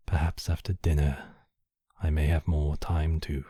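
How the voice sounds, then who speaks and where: A man voicing a courtly, possessive demon king, menacing yet tenderly protective, in slow, intimate ASMR roleplay with formal, measured phrasing. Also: very deep voice, flat delivery, dark tone, slightly rough, dry recording, clean studio sound, heavily acted. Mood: courteous, inviting. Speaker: voice actor in a roleplay. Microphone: close microphone, dry.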